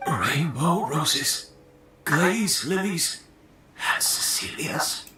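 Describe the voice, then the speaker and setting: An actor playing a proud, brooding older male master perfumer in a fantasy game, with deliberate, theatrical delivery. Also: fairly high pitch, very animated, roomy sound, exaggerated voice. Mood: disbelieving. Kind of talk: scripted dramatic voice acting in a fantasy game. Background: game music.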